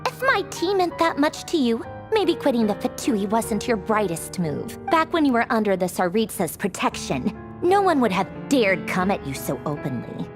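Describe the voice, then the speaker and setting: Haughty young villainess for a game: high, swooping delivery, sarcastic and theatrical, over music. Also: female voice, sing-song, moderate pace, hardly any pauses. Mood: scornful. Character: haughty, sardonic young female villain officer, secretly wistful. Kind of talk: video game voice acting.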